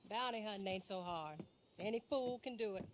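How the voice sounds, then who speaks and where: A woman's voice: mid-range voice, fairly quick, a little hiss or hum, close to an everyday voice. An actress playing a tough female bounty hunter in a western game, with an American accent and a blunt, folksy, sardonic drawl. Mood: brash, confident. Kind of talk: dramatic game cutscene acting. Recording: music under the voice.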